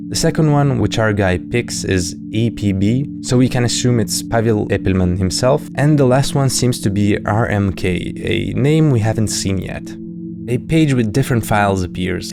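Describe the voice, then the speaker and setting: A man giving scripted video commentary as a host, with casual, wry asides. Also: on the low side. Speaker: host of a horror series breakdown video. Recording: music behind the voice.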